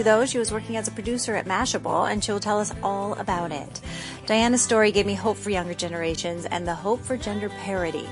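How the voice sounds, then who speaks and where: Woman hosting over prominent music. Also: mid-range voice. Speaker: podcast host and interviewer. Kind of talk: scripted podcast intro and interviewing.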